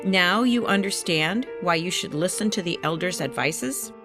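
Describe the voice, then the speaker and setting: A woman voicing a kindly, patient cartoon grandmother who speaks in a gentle, caring, measured manner. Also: lowish voice, animated, music in the background, natural voice. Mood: gently instructive.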